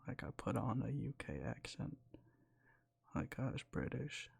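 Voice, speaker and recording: A young man whispering in a soft, rambling way close to the mic, sleepy and sometimes losing his words, for boyfriend roleplay ASMR. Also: mid-range voice, big pitch swings, a little slow, creaky, slightly rough. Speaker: ASMR creator.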